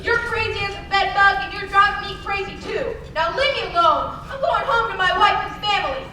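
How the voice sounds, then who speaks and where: A woman's voice: extremely high pitch, a little fast. A young woman acting a desperate, bewildered everyman in an old-fashioned radio play. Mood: frantic. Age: young adult. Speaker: student actor. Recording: echoey distant stage recording, music behind.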